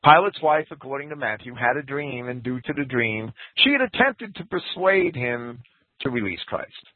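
Male scripture teacher, husky, animated pitch, dry thin radio sound. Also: moderate pace, no echo. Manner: lecturing, reads quotes aloud, lively pitch. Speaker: Bible teacher. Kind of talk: scripture commentary talk. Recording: tinny phone-like radio broadcast.

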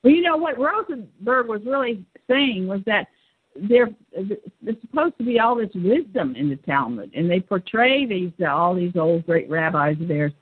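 Husky woman on a narrow phone-like line. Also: mid-range voice, big pitch swings, normal speed. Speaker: discussion co-speaker. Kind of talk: conversational discussion.

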